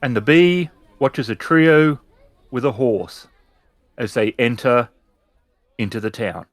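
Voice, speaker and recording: A man's voice: mid-range voice, slow pace. A man narrating a tabletop roleplaying game as storyteller, setting scenes descriptively.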